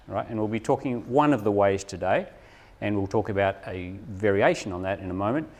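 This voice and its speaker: A man presenting a live workshop to teachers, explaining things and often checking in with "right?". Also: fairly low pitch.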